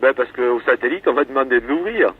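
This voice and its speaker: A man's voice: medium pitch, fast, husky. A man on a phone line, answering questions casually in a compliant, matter-of-fact way. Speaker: prank call victim. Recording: muffled, narrow phone line.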